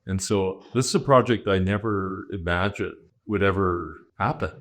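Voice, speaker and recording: Male Canadian architect guest, deep, reflective casual talk. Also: normal speed. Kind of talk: casual conversation.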